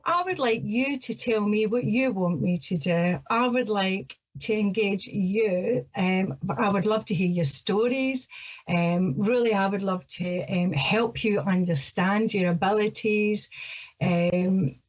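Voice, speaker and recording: A woman's voice: medium pitch, a little mellow, breathy edge, dull audio. A middle-aged Scottish woman from Ayrshire hosting a psychic radio show, talking chattily and nervously with lots of fillers. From Scotland. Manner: chatty and hesitant, with many fillers. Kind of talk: casual talk-radio monologue.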